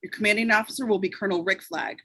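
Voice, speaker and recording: Woman as a stern, fast-talking boss. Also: medium pitch, sharp tone, no room sound. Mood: stern, commanding. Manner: curt, commanding. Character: stern, commanding female government boss. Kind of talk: amateur script table read.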